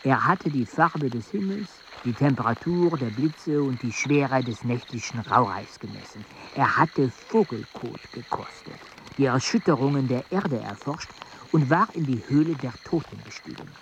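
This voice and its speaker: An actor playing a calm male storyteller in a radio play, measured and unhurried. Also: mid-range voice, vocal fry, muffled recording, a little hiss or hum.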